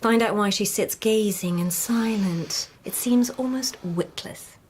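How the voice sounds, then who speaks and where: An actress playing a snobbish, teasing court lady in a radio drama, with an arch manner and a husky voice. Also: medium pitch, unhurried, darkish tone, slight background noise, natural voice. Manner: arch, bantering, haughty.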